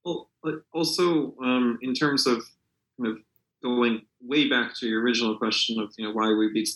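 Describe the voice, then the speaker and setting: Man, mid-pitched, hesitant, lots of fillers and restarts, echoey room. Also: steady tone, moderate pace, fairly bright tone. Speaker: literary translator. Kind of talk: conversational interview answers.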